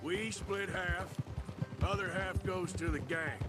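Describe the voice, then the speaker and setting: An actor playing a seasoned, skeptical western outlaw in a video game, with a dry, needling manner. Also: male voice, high-pitched, takes it slowly. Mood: wry. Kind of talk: video game cutscene acting. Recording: game audio under loud music. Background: loud music, horse hooves.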